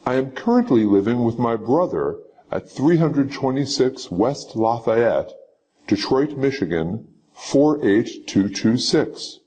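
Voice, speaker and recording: A man reading scripted sample answers for a citizenship interview in a slow, measured, instructive way. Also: mid-range voice, a little mellow, husky, low-quality recording. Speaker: teacher.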